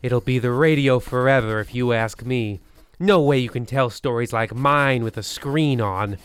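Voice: medium pitch, normal speed, smooth, close to an everyday voice. An actor playing a pompous, boastful scriptwriter muttering a self-satisfied monologue in a comic radio play. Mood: smug, boastful.

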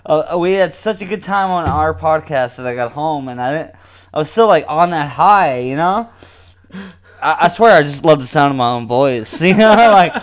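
A man's voice: a little high, lively intonation, moderate pace, lo-fi sound. A man co-hosting a podcast in casual banter, rambling, laughing often and swearing freely. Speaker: podcast co-host.

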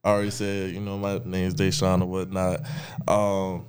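A man's voice: sits low, completely flat delivery, normal speed, smooth. A man of twenty from Savannah, Georgia, a laid-back podcast guest who says "you know" a lot. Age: 20s. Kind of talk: casual chat.

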